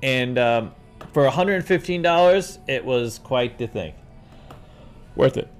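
A man's voice: medium pitch, takes it slowly, soft background music. A middle-aged man from New York City, chatting casually as a host and rambling through stories while calling everyone dude. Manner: rambling, animated, says "dude" often. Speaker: host answering viewer questions. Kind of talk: casual livestream chat.

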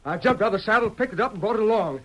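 Excited cowboy, rustic, high-pitched, rapid, vintage radio drama. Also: male voice, low-quality recording. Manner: hurried rustic speech. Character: breathlessly excited cowboy with alarming news. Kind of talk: 1940s radio western acting.

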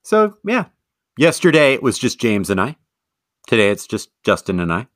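A man co-hosting a casual sports podcast, chatty and self-deprecating, quick to laugh. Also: mid-range voice, wildly swooping pitch, no echo, studio quality. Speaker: podcast co-host.

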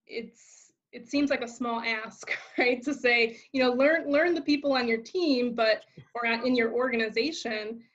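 Slightly high woman on a dull, lo-fi line. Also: a little slow, hardly any pauses, fairly bright tone. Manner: thoughtful, hesitant, lots of fillers and restarts. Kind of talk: conversational interview. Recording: dull lo-fi sound.

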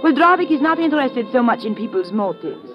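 Coy femme fatale with swooping pitch. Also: female voice, sing-song, fairly quick. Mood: cool, probing. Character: coy, mercenary young woman, mysterious bar-room contact. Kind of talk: 1950s radio drama acting. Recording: dull old radio recording with music.

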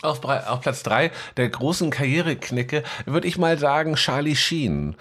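Male podcast co-host, voice a bit high, blunt, rambling, stumbling delivery, casual chat. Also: lively intonation, normal speed, a bit bright. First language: German. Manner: rambling, stuttering over word starts, blunt.

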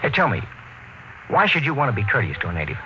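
An actor playing a weary, probing space expedition captain who narrates gravely and dramatically in a classic radio drama. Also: male voice, medium pitch, huge pitch swings, quick pace, pushed and bright, a little hiss or hum, poor audio. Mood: probing, intent. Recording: old radio recording with music behind.

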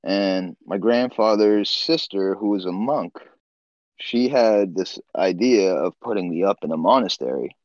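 Podcast guest, man from Nepal, deep voice, easygoing, laughs as he talks, dry lo-fi recording. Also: a little slow, a little mellow, dry recording. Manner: laid-back, laughs often, trails off with "uh" and "you know". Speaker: guest, swimbait builder. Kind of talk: casual storytelling interview.

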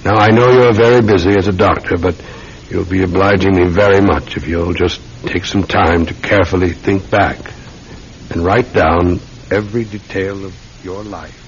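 A low-pitched police sergeant from an old radio mystery. He questions people in a deliberate, deferential yet insistent way. The recording is dull and slightly hissy. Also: steady tone. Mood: earnest, probing. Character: earnest, methodical, deferential Scotland Yard police sergeant. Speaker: actor in a radio mystery serial. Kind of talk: classic radio drama acting. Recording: muffled old radio recording with faint hiss.

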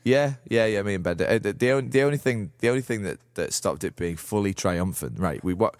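A man with a Liverpool accent and a cold-worn hoarse voice, chatting casually on a podcast with halting restarts. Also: lowish voice, expressive, no echo, studio quality. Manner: halting, lots of restarts and stammers. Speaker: podcast co-host. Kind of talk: casual chat.

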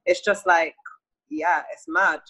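A woman's voice: fairly low pitch, steady tone, slow pace, pushed and bright, husky. A young British woman talking in a casual conversation, chatty and full of fillers. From United Kingdom.

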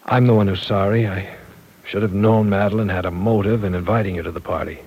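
An actor playing an earnest, apologetic university professor in an old-time radio drama, formal and courteous yet insistent. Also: male voice, on the low side, steady tone, quick pace, creaky, a little mellow, slight background noise, rough recording.

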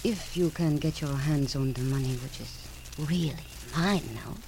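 Brassy, guarded fortune teller, noisy recording. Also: female voice, low-pitched, normal speed. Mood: evasive, scheming. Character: shady, defensive female fortune teller after money. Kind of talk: 1950s radio acting. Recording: noisy old radio recording.

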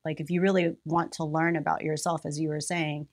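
A woman with a slightly low voice hosts an interview. She sounds engaged and encouraging. She keeps the talk moving with follow-up questions. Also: flat delivery, brisk. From Mexico, Missouri. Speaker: interviewer, host. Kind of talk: conversational interview.

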